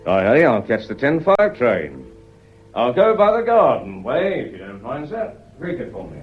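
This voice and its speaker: An actor playing a shrewd, courteous police inspector in a British radio drama, deliberate and deferential as he explains and soothes. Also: mid-range voice, huge pitch swings, husky, faint music behind. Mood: measured, courteous. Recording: dull muffled lo-fi audio.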